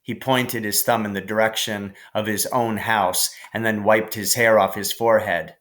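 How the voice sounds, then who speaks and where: A man reading a children's novel aloud as scripted narration, in a measured, careful way. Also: on the low side, fairly even intonation, fairly bright tone. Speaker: audiobook narrator.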